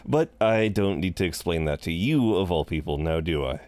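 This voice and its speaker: A man's voice: deep voice, lively intonation, normal speed, darkish tone. A man playing a flirtatious, chatty incubus innkeeper in a roleplay audio drama, welcoming and rambling with hesitant asides. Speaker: innkeeper at a front desk.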